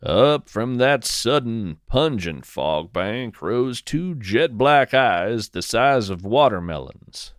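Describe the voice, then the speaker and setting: A man narrating scripted first-person fiction as a podcast host, in a measured, literary, contemplative manner. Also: mid-range voice, animated, dry recording, studio quality.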